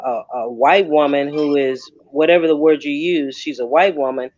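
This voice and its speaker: A man on a discussion panel, speaking in a deliberate, lecturing way and repeating points. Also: fairly high pitch, no room sound, highs cut off. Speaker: panel guest.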